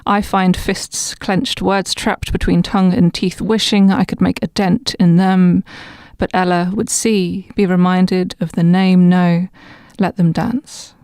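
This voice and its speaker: Woman, lowish voice, dry close sound. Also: darkish tone, clear, resonant tone, no room sound. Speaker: guest poet on a podcast. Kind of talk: podcast discussion and spoken-word poetry reading.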